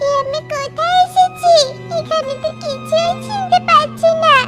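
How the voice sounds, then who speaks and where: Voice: extremely high pitch, fairly even intonation, measured pace. A performer voicing an eager, insistent little bird princess who pleads like a small child in a children's cartoon. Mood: lost, frightened. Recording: music bed behind the voice.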